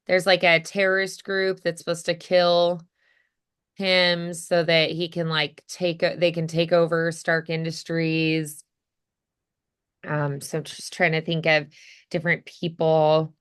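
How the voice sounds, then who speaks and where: A woman's voice: deep voice, completely flat delivery, slow, smooth. A woman teaching voice acting in a casual one-on-one chat, thinking aloud hesitantly and saying "like" often.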